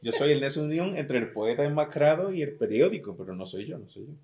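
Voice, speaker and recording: A man's voice: a little high, animated, fast, darkish tone. A middle-aged man with an Andalusian Spanish accent, a poet giving an interview, joking about himself and reading his sonnets aloud. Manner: self-deprecating, joking, restarts words often.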